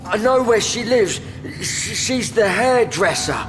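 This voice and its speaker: An actor playing a scarred, servile, childlike henchman in an audio drama, halting and stammering with fear. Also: high voice, lively intonation. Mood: anxious confession. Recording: long reverberant hall, music behind.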